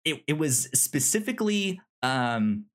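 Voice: mid-range voice, very animated, a little slow. A podcast co-host casually discussing film, often stammering and repeating words and sounds.

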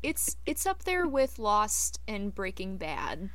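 A woman's voice: medium pitch, big pitch swings, slow pace, fairly bright tone, no echo. A woman speaking as a podcast guest in casual, friendly-paced conversation. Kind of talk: casual chat.

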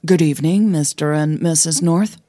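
Woman voicing a courteous, eager gentleman, low and mellow. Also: normal speed, dry recording, clean studio sound. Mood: eager, formal.

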